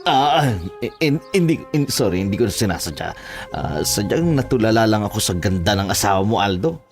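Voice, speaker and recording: A man playing a flattering, smitten leader of a visiting gang in a horror audio drama. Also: on the low side, a little fast, faint music behind. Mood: flustered.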